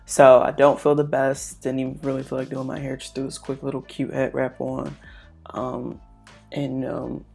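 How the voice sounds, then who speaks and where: A woman's voice: very deep voice, normal speed, gravelly, soft background music. A young woman with a stuffed-up, congested voice, chatting casually through a makeup tutorial at home. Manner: chatty, relaxed, lots of fillers. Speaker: beauty vlogger.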